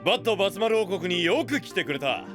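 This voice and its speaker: A man playing a rough, welcoming young gang boss in an anime voice drama, brash and quick to bristle. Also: high-pitched, expressive. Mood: hearty welcome. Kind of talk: Japanese anime voice drama acting. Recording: music behind the voice.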